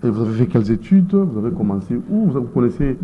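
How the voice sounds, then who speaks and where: A man, hosting an interview and prompting his guest with quick follow-up questions. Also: mid-range voice, big pitch swings, slight background noise. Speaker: interviewer, host. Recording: muffled lo-fi sound.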